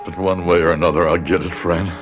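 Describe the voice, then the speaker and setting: A man's voice: low voice, flat delivery, quick pace, natural voice. An actor playing a grave, protective middle-aged professor father in a radio drama, formal yet tender. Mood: grimly vengeful. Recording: roomy sound with music underneath.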